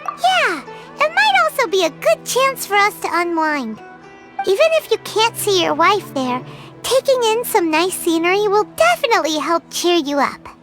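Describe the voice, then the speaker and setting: Tiny, extremely high chirpy fairy. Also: female voice, big pitch swings, normal speed, fairly bright tone, heavily acted. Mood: upbeat. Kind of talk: video game cutscene acting. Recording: music under the voice.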